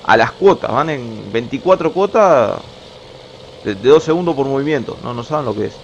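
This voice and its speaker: A man's voice: medium pitch, animated, normal speed. A young Uruguayan man talks casually and at length while playing a truck-driving game, often restarting his words. His voice sits in an ordinary middle register. The home recording is slightly dull, with faint game engine noise underneath. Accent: Uruguayan Spanish. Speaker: gaming YouTuber.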